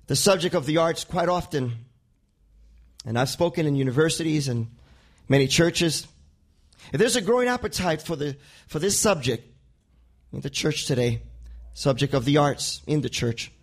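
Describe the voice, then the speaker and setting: Male pastor, Spanish accent, high voice, preaching, repeats words as he builds toward a point. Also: expressive, unhurried, long pauses. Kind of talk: sermon.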